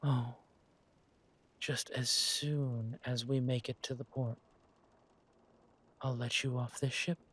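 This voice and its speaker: A ruthless villain lord, played by a man, speaks in a husky, middle-register voice. He draws his words out very slowly, with silky mock courtesy and quiet menace, as in a roleplay audio drama. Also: mid-range voice, level tone. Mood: silky threatening. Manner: mock-polite, menacing, drawn-out delivery.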